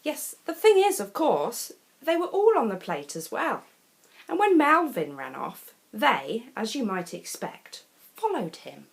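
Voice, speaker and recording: Slow, very animated woman reading to children. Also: a little high, vocal fry. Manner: expressive, deliberate dramatic pauses. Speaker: storyteller reading children's picture books.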